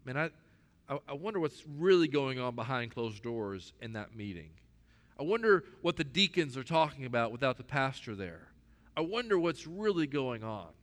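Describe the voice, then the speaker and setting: A man's voice: mid-range voice, very animated, moderate pace. A male pastor preaching a sermon to his church, earnest, acting out the voices he quotes. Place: church hall. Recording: long echo of a large hall.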